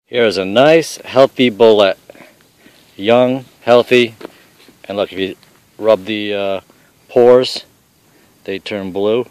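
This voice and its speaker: A middle-aged man with a fairly low voice talks bluntly and casually, tossing off salty remarks. He is outdoors on a forest trail, recorded on a handheld camera. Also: slow, pauses often, a little mellow, smooth. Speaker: father showing mushrooms on a hike.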